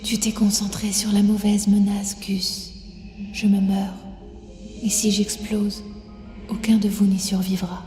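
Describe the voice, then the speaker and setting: Une femme qui joue, dans une fiction audio, l'esprit mourant d'un arbre volcanique, grave et las, d'une voix chuchotée et mesurée. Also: female voice, mid-range voice, monotone, warm tone, faint music behind, natural voice. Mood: solemn, pleading. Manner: grave, whispery, measured. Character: dying volcanic tree spirit, solemn, weary female guardian. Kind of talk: audio drama acting. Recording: long echoing reverb.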